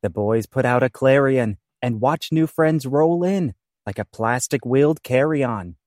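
A man's voice: mid-range voice, animated, measured pace, a little mellow, no echo. Man narrating theatrically, dry close sound. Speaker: narrator of a comic audio play. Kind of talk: theatrical rhyming scripted narration.

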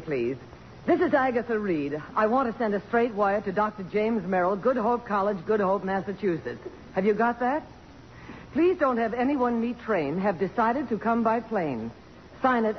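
An actress playing a poised, sentimental but strong-willed middle-aged congresswoman, with a crisp, commanding and emotional delivery in 1950s radio drama style. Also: medium pitch, expressive, normal speed, close to an everyday voice. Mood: businesslike. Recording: dull, noisy old radio broadcast.

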